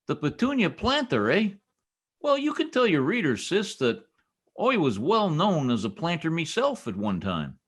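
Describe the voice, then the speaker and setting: A man's voice: fairly high pitch, wildly swooping pitch, moderate pace, fairly bright tone, full character voice. Man as a cocky small-town blowhard husband, slangy, folksy, hugely swooping delivery, radio comedy. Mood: self-important. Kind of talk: old-time radio comedy acting.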